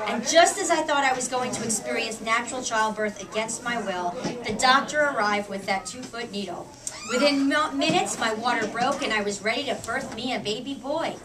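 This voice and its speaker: A woman's voice: on the high side, normal speed, crowd noise. An American woman reading her own humorous personal essay aloud at a live book event, picked up from a distance. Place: public book reading in a shop. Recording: distant mic in a noisy crowded room.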